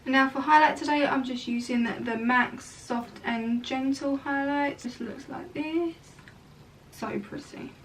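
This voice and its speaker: Chatty croaky woman with a high voice. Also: unhurried, far from the mic, a little hiss or hum. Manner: chatty, rambling asides. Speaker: makeup vlogger. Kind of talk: casual makeup tutorial. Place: room at home.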